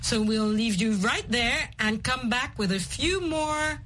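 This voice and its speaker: Woman teaching English, slow and clear. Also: on the high side, a bit bright, a little hiss or hum. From Kenya. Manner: slow, clear, repeats the guest's words. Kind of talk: English lesson chat.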